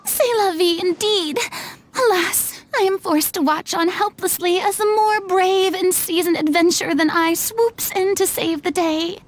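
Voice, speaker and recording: An actress playing a theatrical young former goddess who speaks grandly and drops French phrases, in a video game. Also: female voice, extremely high pitch, unhurried. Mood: mock-tragic.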